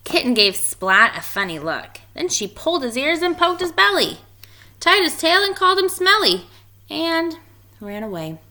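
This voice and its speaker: A woman reading a children's picture book aloud in an expressive storytelling style. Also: high voice, moderate pace. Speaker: story reader.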